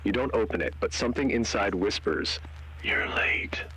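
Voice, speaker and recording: A man performs a grave analog horror narrator with a very deep, husky voice. He is measured and deliberate, delivering scripted lines with an ominous weight. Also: bright, forceful tone, in a whisper, rough recording. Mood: eerie, suspenseful. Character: grave male narrator and interrogator of a horror tale.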